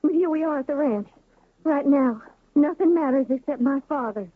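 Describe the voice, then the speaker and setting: A man's voice: very high voice, normal speed, background noise, voice pushed up. A man voicing a dutiful young ranch daughter in an old radio drama, on a lo-fi recording. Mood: resigned. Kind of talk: 1970s radio drama acting.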